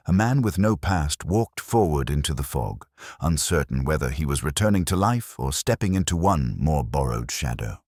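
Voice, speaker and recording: Very deep-voiced male story narrator. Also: darkish tone, no room sound, studio quality. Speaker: audiobook narrator. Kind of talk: scripted dramatic story narration.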